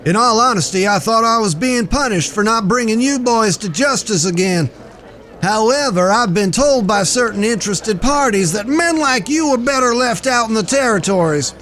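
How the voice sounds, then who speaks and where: A jovial frontier marshal, played by a man, pitched very high for a male voice. He talks in folksy, salty cowboy phrases, as in a Western audio drama. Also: lively intonation, normal speed, fairly bright tone. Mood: wry. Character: jovial, foul-mouthed frontier US marshal, old frenemy.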